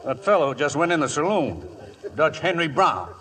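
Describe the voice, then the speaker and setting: A genial frontier lawman, with an American drawl in a high, very animated voice. He is easygoing and folksy, yet firm about the rules, in old-time radio western style. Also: moderate pace. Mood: friendly, probing.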